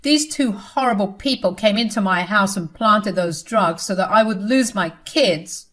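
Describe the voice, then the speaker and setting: A woman voicing a lying, self-pitying grown daughter who pleads in a high whine. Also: normal speed, fairly bright tone, lightly acted. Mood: indignant.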